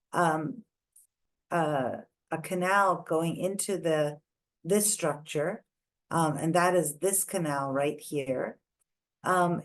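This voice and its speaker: Low-pitched woman lecturing methodically. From India. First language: not English. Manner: careful, methodical, points at images. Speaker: lecturer on ear anatomy. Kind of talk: online lecture walking through scans.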